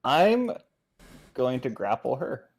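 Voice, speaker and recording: A man playing a tabletop role-playing game, speaking casually and deliberately as he states his moves. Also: mid-range voice, sing-song.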